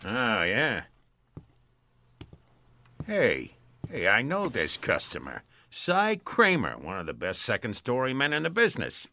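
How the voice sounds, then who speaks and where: An actor playing a smug, sarcastic police lieutenant in a detective radio drama, with a bossy, wisecracking manner. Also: male voice, medium pitch, wildly swooping pitch, a little slow, pauses often, pushed and bright, a little hiss or hum, heavily acted. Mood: brisk, sardonic.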